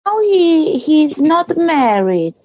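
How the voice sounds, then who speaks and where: A sweet young woman's voice, as a performer puts it on, pitched very high and lilting up and down. She talks slowly. The sound is muffled and dry. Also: big pitch swings, a little mellow, no echo, full character voice.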